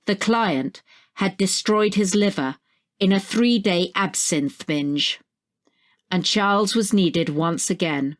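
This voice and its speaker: A woman narrating a scripted short story. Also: fairly low pitch.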